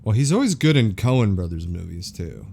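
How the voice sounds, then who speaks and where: A man's voice: fairly low pitch, big pitch swings, moderate pace, gravelly, over music. A man co-hosting a film podcast in casual banter, opinionated and sweary with slangy phrasing. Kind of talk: casual banter reviewing films.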